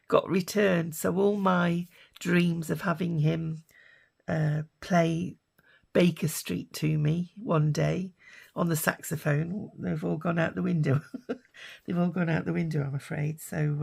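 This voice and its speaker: Husky older British woman chatting. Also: sits low, darkish tone. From United Kingdom. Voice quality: husky, raspy. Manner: rambling and chatty, with many fillers. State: hoarse from a lingering cough. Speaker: craft vlogger. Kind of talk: casual chat while crafting.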